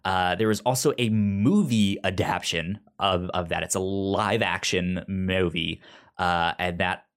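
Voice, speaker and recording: A man talks casually as a podcast co-host, his voice fairly low and his delivery slow. He stutters heavily, repeating the first sounds of words many times before getting them out. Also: fairly even intonation.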